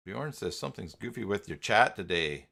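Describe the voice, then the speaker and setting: A man hosting a casual live software tutorial, talking haltingly and muttering to himself as he works through things on screen. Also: on the low side, sing-song, gravelly. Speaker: live stream host, software tutorial presenter. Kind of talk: casual unscripted screen-share walkthrough.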